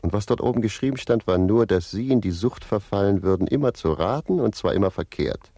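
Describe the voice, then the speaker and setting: A man's voice: lowish voice, expressive, brisk, mellow, dry recording, clean studio sound. An actor in a radio play as a cheeky manservant wryly and insistently recounting his youthful love escapades. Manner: wry, insistent storytelling. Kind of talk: radio play narration and dialogue.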